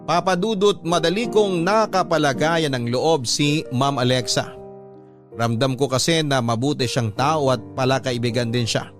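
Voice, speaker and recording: A man's voice: mid-range voice, moderate pace. Male storyteller, Filipino accent, mid-range voice, radio-style love-letter narration over a light music bed.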